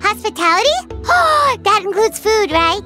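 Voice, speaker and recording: Very squeaky, bubbly little fairy companion. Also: female voice, unhurried, full character voice. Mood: eager, delighted. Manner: excitable, speaks of self in third person. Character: tiny childlike fairy companion, bubbly, food-loving, cheeky. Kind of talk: animated video game voice acting. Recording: music behind the voice.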